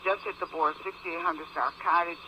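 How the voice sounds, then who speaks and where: A man's voice: fairly high pitch, steady tone, moderate pace. A man with an American accent working as a police dispatcher, giving clipped, procedural calls over the radio. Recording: muffled police scanner radio, static noise.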